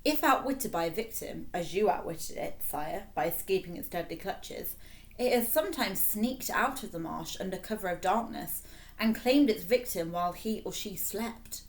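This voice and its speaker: A woman voicing a pompous, obsequious fake professor in a storybook, measured and self-important. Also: mid-range voice, lively intonation, lightly acted. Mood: grave.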